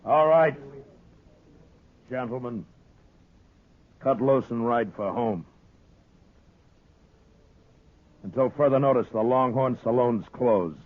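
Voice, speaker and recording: An actor playing a stern, commanding American frontier marshal who gives terse orders in a 1950s radio western. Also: male voice, medium pitch, unhurried, long pauses, close to an everyday voice. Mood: firm, commanding. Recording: tinny, noisy 1950s radio recording.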